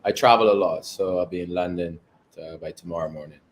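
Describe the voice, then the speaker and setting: Deep-voiced man from Barbados. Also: slight background noise. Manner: measured, articulate explanations. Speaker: guest, company co-founder. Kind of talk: interview answers. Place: home overlooking the ocean.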